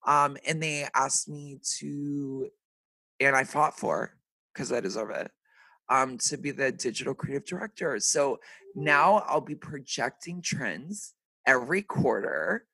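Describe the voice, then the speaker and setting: A man in his thirties hosting a podcast, chatting casually with lots of fillers, his voice deeper and raspier than usual today. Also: measured pace, sharp tone, no room sound, rough recording. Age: 30s. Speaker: podcast host. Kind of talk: casual chat.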